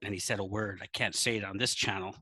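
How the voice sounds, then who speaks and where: A man's voice: lowish voice, steady tone, quick pace, bright, forceful tone, slightly rough, dry recording. A man telling an anecdote in a casual podcast style, acting out the dialogue as he goes. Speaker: podcast guest, teacher. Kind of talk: casual storytelling.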